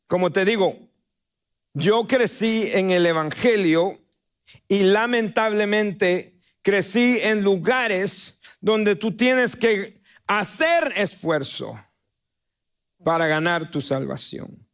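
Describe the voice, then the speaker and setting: Slow high-voiced Guatemalan pastor preaching. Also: male voice, lively intonation, fairly bright tone. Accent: Guatemalan Spanish. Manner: preaching with emphatic drawn-out stresses. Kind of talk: sermon. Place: church sanctuary.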